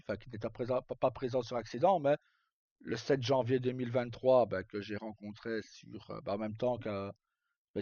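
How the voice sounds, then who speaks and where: A man's voice: medium pitch, a little mellow, no echo, highs cut off. A man chatting casually as a streamer, recounting stories in a rambling, hesitant, colloquial way. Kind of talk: casual live chat, recounting roleplay stories.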